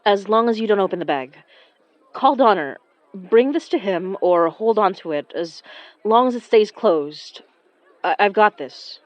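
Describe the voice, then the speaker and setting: An actress playing a gentle, anxious young woman who senses haunted objects, speaking haltingly in audio fiction. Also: medium pitch, expressive, no echo, highs cut off, natural voice. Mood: quietly resolute. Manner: hesitant, halting, stammering. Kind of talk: audio fiction acting with first-person narration.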